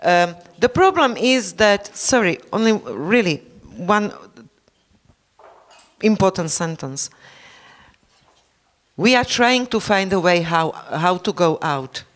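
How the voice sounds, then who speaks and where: Man, politician, panel debate, very slow delivery, halting non-native English. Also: high voice, expressive, a bit bright. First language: not English.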